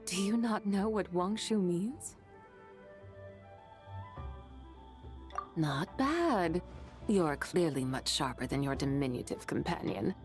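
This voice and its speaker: A woman voicing an ancient, proud and mischievous horse spirit in a video game, with grandiose, archaic phrasing. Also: fairly low pitch. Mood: intrigued. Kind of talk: video game character dialogue. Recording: game music behind the voice.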